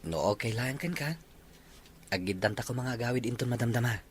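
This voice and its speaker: A man's voice: medium pitch, brisk, slight background noise, lightly acted. A man playing an earnest, polite young suitor in an audio drama. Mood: courting. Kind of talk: Ilocano audio drama acting.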